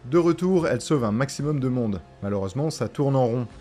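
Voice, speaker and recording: A man's voice: medium pitch, expressive, a little fast, a loud crowd. A young man giving a scripted, irreverent recap commentary in a colloquial, sarcastic way, with music behind him. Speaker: video essayist narrator. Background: background music.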